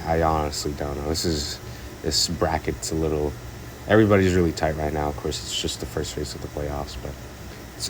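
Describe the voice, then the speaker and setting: A man's voice: a bass voice, a little hiss or hum. A man giving a casual, rambling recap of a stock car race, stuffed up and hesitant, full of fillers and restarts. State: congested, stuffed up. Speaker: racing commentator.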